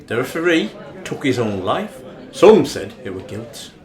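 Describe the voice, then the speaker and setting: Man acting a lively Northern English rugby fan. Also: medium pitch, unhurried. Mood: insistent. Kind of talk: amateur radio play acting. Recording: echoey room.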